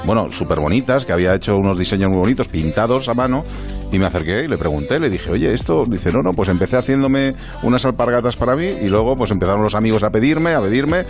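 A man's voice: on the low side, expressive, fast talker, soft background music. A man with an accent from Spain hosting a radio interview in a chatty, anecdotal, conversational way. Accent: Spanish, from Spain. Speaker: radio show host.

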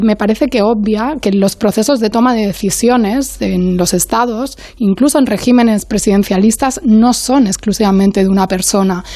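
A woman speaking as an academic guest on a panel, in a measured, analytical way, often searching for her next word. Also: medium pitch, warm tone, no echo.

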